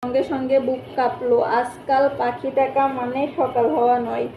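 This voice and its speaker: A woman's voice: high-pitched, flat delivery, moderate pace, faint music behind. A woman reading literary prose as a story narrator, in scripted narration. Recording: echoey, muffled, faintly noisy room.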